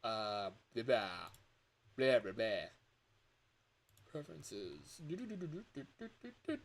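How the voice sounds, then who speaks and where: A man chatting casually on a livestream in an excitable, expressive manner. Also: mid-range voice, a little slow. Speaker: livestreamer and hobby voice actor.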